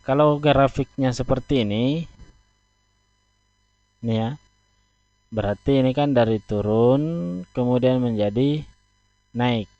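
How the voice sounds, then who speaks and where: A man teaches a math lesson, pausing often as he works through a graph. His voice sits fairly low and moves at a very unhurried pace. The recording is dry and a little dull, like a cheap lo-fi mic. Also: dark tone, no room sound. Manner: slow, deliberate, pauses often. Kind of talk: explaining at a board.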